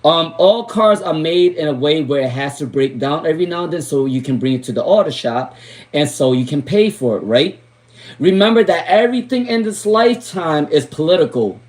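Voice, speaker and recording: Live-stream tarot reader, man, voice sits a bit high, raspy from talking all day, chatty and digressive. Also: lively intonation, normal speed, a little mellow. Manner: chatty, drifts into asides and sung snatches.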